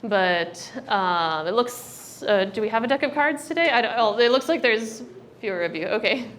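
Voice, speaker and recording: A woman's voice: a little high, expressive, fairly bright tone. A young American woman informally introducing a workshop to a live group, chatty, friendly and a little hesitant. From United States. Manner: hesitant, frequent ums and pauses, chatty and friendly. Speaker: workshop presenter, research director. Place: indoor workshop room with an audience. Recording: live room with clear background noise.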